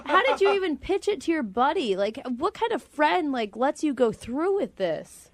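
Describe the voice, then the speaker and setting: A woman's voice: high voice, very animated, moderate pace, crowd noise. A woman co-hosting a casual radio show, blunt and incredulous in her banter.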